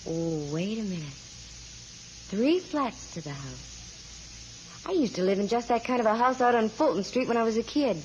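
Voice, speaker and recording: Woman acting a quick-witted female detective, American, brisk banter, 1940s radio drama, dull sound with hiss. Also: on the high side, animated, darkish tone, natural voice. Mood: thoughtful. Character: wisecracking, quick-witted female private eye, bossy and brisk.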